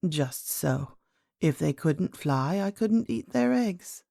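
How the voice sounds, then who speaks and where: Ancient storybook grandmother queen, played by a woman: low, unhurried, gentle, enigmatic, softly creaky. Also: no echo, studio quality, exaggerated voice. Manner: calm, measured, kindly, enigmatic. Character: very old, gentle, mysterious great-great-grandmother queen. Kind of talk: storybook character voice.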